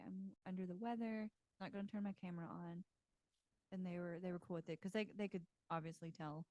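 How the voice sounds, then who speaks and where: Chatty woman with a hoarse voice. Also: fairly low pitch, a little mellow, dry recording, rough recording. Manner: chatty, laughs often. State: hoarse, recovering from a cold. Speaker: podcast co-host. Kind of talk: casual chat. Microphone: home podcast mic, video recorded.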